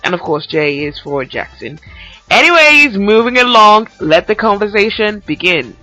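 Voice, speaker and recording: Chatty bright-voiced podcast host. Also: male voice, high-pitched, big pitch swings, normal speed. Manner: upbeat, chatty, laughs often. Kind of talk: casual interview. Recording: dull lo-fi audio with faint music.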